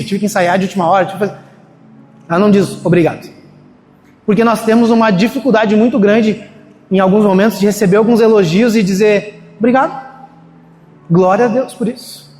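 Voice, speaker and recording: High-voiced preacher in echoey hall. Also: male voice, a little mellow, a little hiss or hum. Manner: conversational, storytelling, acts out quoted voices. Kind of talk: sermon. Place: church hall. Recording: echoey hall, faint ambience.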